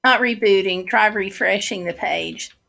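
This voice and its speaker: Slow, chatty woman losing her voice. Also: medium pitch, creaky, sharp tone. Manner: slow, chatty, many fillers. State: losing her voice, throat clearing. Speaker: livestream host. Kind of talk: casual livestream chat reading viewer comments.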